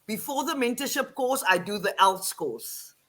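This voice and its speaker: A middle-aged woman from the Western Cape with an Afrikaans South African accent, telling her story in animated casual talk on a video call. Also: fairly high pitch, moderate pace. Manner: animated, repeats phrases, laughs often. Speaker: guest, online English teacher.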